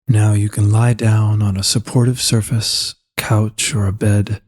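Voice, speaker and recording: Male meditation guide, low-pitched and husky, calm and soothing, giving gentle unhurried instructions. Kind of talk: slow guided meditation narration.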